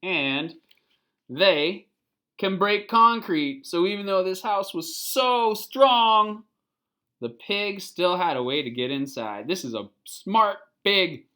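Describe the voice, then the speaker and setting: A children's picture book reader with a bright, forward voice. The delivery is slow and expressive, moving between storytelling and simple explanatory asides with small questions to the listener. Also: medium pitch.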